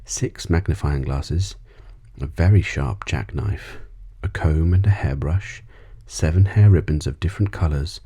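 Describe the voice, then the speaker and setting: A man narrates a bedtime story in soft ASMR style. His voice sits very deep, with a mellow, dark tone and a husky grain. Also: unhurried. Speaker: audiobook reader.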